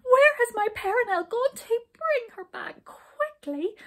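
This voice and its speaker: A woman voicing a doting, highly emotional queen in distress in a children's story, crying out in anguish. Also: animated, measured pace. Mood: alarmed distress.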